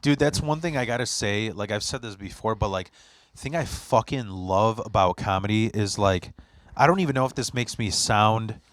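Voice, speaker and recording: A man's voice: lowish voice, normal speed, no echo. A man whose first language is Polish, hosting a podcast in casual chat and telling rambling, profane stories with lots of restarts. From Poland. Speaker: podcast host.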